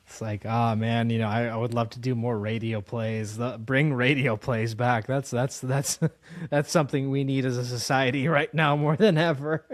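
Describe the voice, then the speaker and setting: A man's voice: medium pitch, fairly even intonation, normal speed, dry recording. Man hosting a casual interview, dry close sound. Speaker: interview host.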